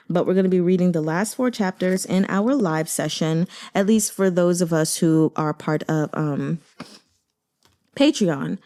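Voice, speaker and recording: Woman host just chatting to her listeners, soft mellow tone, opinionated n emphatic. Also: on the low side. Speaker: audiobook reader and channel host. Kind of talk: casual reaction chat and announcements.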